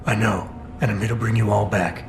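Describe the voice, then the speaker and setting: Stoic low-voiced game agent. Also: male voice, steady tone, brisk, vocal fry. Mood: calm, determined. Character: stoic, gruff male agent, terse and controlled. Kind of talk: video game voice acting. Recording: roomy reverb, music underneath.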